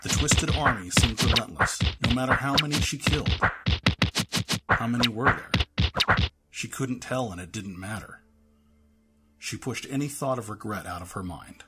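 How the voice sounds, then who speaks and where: A man's voice: mid-range voice, normal speed, pauses often, over loud music. A man reading scripted narration for an audio drama in a dramatic storytelling manner.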